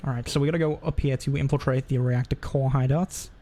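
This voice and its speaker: A man's voice: mid-range voice, fairly even intonation, fast, warm tone. A man chatting casually on a live game stream, rambling and often interrupting himself.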